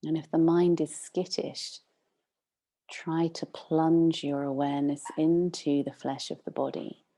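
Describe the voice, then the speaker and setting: Female meditation guide, deep relaxed tone with creaky edges, unhurried and gently instructive. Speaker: meditation teacher.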